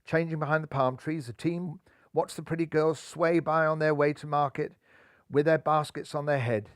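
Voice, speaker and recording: Older guy reading a kids story, theatrical, fairly high voice, dry close sound, lapel mic. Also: normal speed, clean tone, no echo. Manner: lively, theatrical. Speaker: storybook narrator. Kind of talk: expressive children's story reading. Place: living room, seated in armchair.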